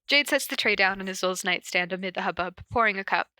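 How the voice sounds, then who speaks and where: Woman, informal podcast reader and host. Bright, forward voice, dry close sound, giggly, slips easily between story and asides. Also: medium pitch, fast talker, no echo. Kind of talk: casual cold reading of fan fiction and chat. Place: corner of a basement at home.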